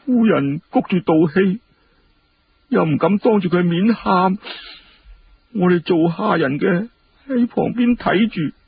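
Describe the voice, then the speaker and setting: A man's voice: lively intonation, takes it slowly, long pauses, breathy edge, phone-quality sound, exaggerated voice. A man voicing a timid, distressed household servant in Hong Kong Cantonese, deferential and plaintive, for a told story. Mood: tearful, plaintive. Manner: deferential, plaintive, high-pitched, hushed.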